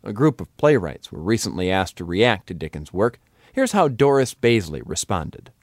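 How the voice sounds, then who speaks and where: Guy doing a scripted radio intro, animated mid-range voice, very dry sound. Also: lowish voice, normal speed, dry recording. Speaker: radio presenter.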